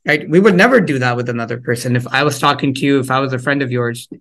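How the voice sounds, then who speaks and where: A man's voice: mid-range voice, quick pace, fairly bright tone. Conversational man leading an online workshop. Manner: conversational, engaging the audience with questions; frequent fillers and repeated words. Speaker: workshop presenter. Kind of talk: interactive parenting workshop talk.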